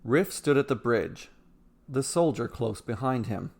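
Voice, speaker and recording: A man narrating a scripted fantasy story with measured, dramatic delivery. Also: medium pitch, expressive, creaky, a little mellow.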